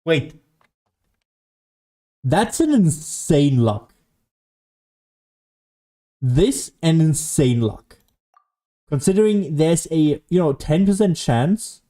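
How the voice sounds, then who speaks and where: A man giving casual solo gameplay commentary as a streamer, rambling and thinking out loud as he goes. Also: a little high, animated, very slow, pauses often. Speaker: gaming streamer.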